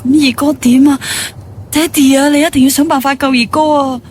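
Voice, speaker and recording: An actress playing a pampered, anxious young daughter in a radio drama, emotive. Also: female voice, high-pitched, steady tone, fairly quick, a little mellow, slight background noise, natural voice. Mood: worried, pleading. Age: young adult. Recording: studio radio drama with music.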